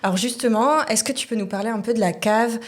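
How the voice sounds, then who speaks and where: A woman's voice: fairly high pitch, quick pace, a crowd in the background. A woman hosting a podcast interview, asking questions and affirming with short backchannels. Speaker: podcast host.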